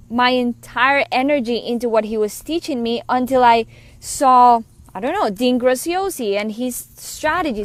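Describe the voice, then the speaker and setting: A woman from Colombia with a Colombian accent speaks in a fairly high voice, earnest and chatty as she coaches. The sound is dry and close, with no room around it. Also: no echo. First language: Spanish. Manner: earnest, conversational, quick asides. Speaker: business coach. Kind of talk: personal story monologue.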